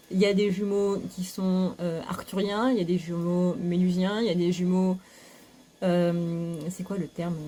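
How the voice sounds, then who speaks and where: A woman's voice: fairly low pitch, dark tone. A woman talking frankly to her viewers in a casual home vlog, full of fillers and restarts. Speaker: spiritual YouTuber. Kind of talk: casual talking-to-camera monologue. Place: home, vacuum cleaner running nearby.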